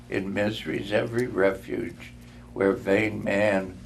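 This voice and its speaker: An older man giving a dramatic storybook reading in a measured, halting manner, sometimes stumbling and repeating words. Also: lowish voice, creaky, a little hiss or hum. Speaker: reader.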